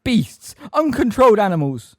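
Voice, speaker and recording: Shrill, haughty female bureaucrat played by a male reader for a storybook; high, officious, indignant, swooping widely. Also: very animated, fairly quick, darkish tone, dry recording, clean studio sound. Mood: shrieking, outraged. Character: pompous, terrified older female government official, shrill and indignant. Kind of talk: audiobook character acting.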